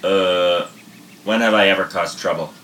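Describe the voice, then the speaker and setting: A woman playing a teasing, excitable teenage boy in a period audio play, mischievous and wheedling. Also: extremely low pitch, unhurried. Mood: playful. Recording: noticeable background noise and clicks.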